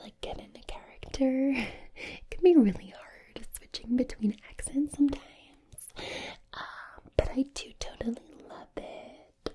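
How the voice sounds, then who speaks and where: A woman voicing a gushing young Valley Girl with an American accent, in a breathy ASMR whisper. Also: high voice, dark tone.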